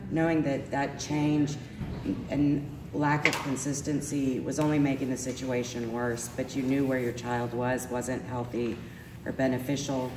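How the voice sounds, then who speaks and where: Very low-voiced stuffy woman in echoey hall. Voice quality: slightly sore voice. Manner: earnest, many ums and restarts. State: stuffy from allergies. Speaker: parent speaking at a school event. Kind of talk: personal testimonial speech. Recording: echoey hall, speaker far from mic, noisy.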